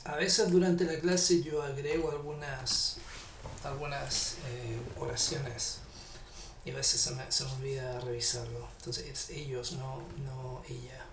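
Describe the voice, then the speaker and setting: A man with a Chilean Spanish accent teaching English grammar in a live online class, patiently repeating English example sentences slowly. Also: mid-range voice, little pitch movement, a bit bright, echoey room, distant mic, slight background noise.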